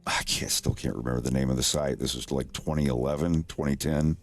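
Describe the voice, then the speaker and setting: Very deep-voiced man, rambling stream chat. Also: fairly even intonation, a little fast, soft background music. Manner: rambling, chuckling, self-deprecating. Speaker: streamer, animator. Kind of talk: casual livestream chat. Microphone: desk microphone.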